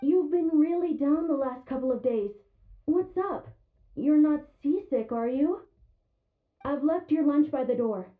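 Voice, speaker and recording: Supporting game role: concerned female shipmate, friendly, high-pitched, heard thin and muffled like a phone line. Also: measured pace, pauses often. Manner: solicitous, friendly. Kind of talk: video game voice acting.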